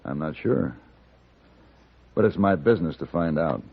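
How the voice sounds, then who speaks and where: A cool, hard gunfighter from an old radio western. His voice is very low and moves expressively, yet the delivery stays terse and deliberate. It comes through as a heavily muffled vintage broadcast with music underneath. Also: expressive, gravelly, breathy edge, exaggerated voice. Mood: calm, watchful. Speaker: lead actor and first-person narrator. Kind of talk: 1950s radio western acting and narration.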